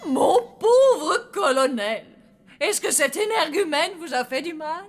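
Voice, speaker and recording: A woman voicing a haughty, excitable, theatrical lady monster in a French cartoon. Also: high-pitched, takes it slowly, very noisy, full character voice. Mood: doting. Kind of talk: French animated cartoon dubbing.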